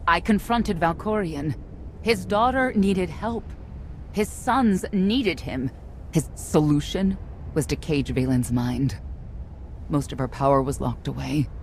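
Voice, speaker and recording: Creaky-voiced, weary, stern warrior mother. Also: sits low, a little mellow, slight background noise, full character voice. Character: weary, guarded middle-aged mother, former queen turned stern knight. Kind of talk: dramatic video game dialogue.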